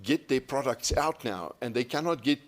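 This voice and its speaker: A man speaking as a conference panelist, telling an account with emphatic, deliberate repetition. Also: medium pitch, expressive. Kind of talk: panel discussion, anecdotal.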